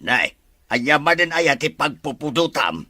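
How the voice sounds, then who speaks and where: A meddlesome father in a family melodrama, played by a male actor. His voice is husky and forceful, and it swings widely as he talks to his family. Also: on the high side, sing-song, measured pace, no echo, studio quality, heavily acted. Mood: concerned. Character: animated, meddlesome father of a grown daughter. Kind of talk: melodrama dialogue.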